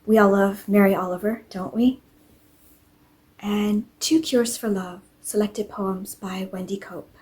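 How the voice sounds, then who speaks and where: A woman narrating a cozy home vlog in a gentle, unhurried way. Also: medium pitch, fairly even intonation. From English-speaking country. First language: English. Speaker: vlogger. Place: home in Norway.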